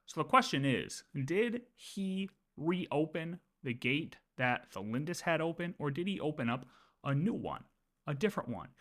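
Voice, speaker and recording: A man's voice: a little high, sing-song, normal speed, a bit bright. A man livestreaming casual game lore commentary, thinking aloud and often repeating his words as he works through ideas.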